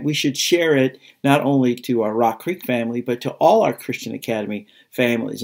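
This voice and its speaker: Creaky-voiced man introducing a show. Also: medium pitch, darkish tone. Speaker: school staff member introducing a show. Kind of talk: informal spoken introduction to camera.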